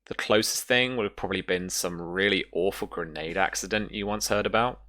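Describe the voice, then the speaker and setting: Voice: low-pitched, brisk, a bit bright. A game master narrating a tabletop roleplaying game in a casual way, often thinking aloud. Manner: casual, thinks aloud while looking up rules.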